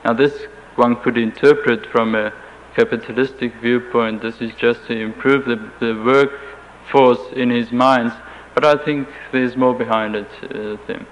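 A German-accented man whose first language is German, speaking in a flat, level tone with little movement in pitch. He answers slowly and carefully, often restarting his sentences. The recording is a dull lo-fi tape with a faint hiss underneath. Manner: halting, careful, with frequent restarts. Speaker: interviewee.

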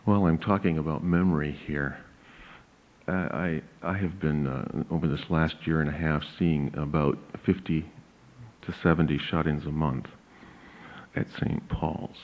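Slow-speaking pastor with a big voice. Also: male voice, extremely low pitch, fairly even intonation. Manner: slow, deliberate, with emphatic rises. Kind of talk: lecture on church hymns. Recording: narrow phone-like audio.

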